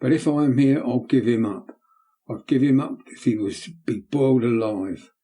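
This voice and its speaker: A man's voice: lively intonation, fairly quick, dark tone, close to an everyday voice. A man voicing a frantic, defiant young boy who screams for help in an audiobook reading. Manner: shrill, screaming. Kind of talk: audiobook character voice.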